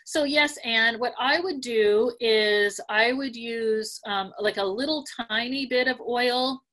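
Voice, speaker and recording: A middle-aged woman teaching dog grooming over a webinar video call from home, chatty with many fillers and clearing her throat as she loses her voice. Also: on the high side, slow, a bit bright. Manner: chatty, many ums and likes, practical. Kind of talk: casual webinar teaching, answering questions. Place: home room. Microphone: laptop webcam video call.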